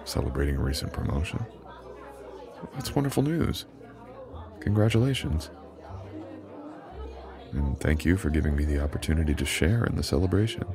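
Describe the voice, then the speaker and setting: Very deep, gravelly immortal boyfriend acted by a man. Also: lively intonation, crowd noise. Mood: warm, teasing.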